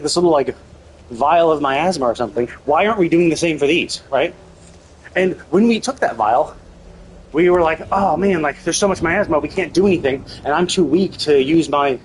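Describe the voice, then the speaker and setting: A man with a slightly high voice rants casually over a game stream. He is exasperated and emphatic, swearing freely, and there is some light background noise. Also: low-quality recording. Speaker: livestreamer. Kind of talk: casual gameplay commentary rant.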